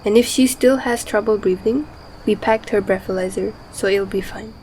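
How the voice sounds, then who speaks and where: A woman's voice: mid-range voice, moderate pace, a little mellow, husky, poor audio. A woman playing a pragmatic, protective mother fleeing war, speaking seriously in an amateur radio drama. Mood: serious, reassuring. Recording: faint night insect ambience behind.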